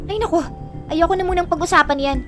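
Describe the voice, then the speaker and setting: A woman's voice: very high voice, fast talker, soft background music. A woman playing a sassy, unbothered young sister in a Filipino audio drama, teasing and flippant.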